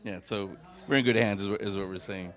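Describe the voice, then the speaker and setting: A man's voice: fairly low pitch, steady tone, brisk, fairly bright tone. A man hosting an interview at an art gallery, friendly and a little stammering as he restarts his questions. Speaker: interviewer, host. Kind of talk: casual interview.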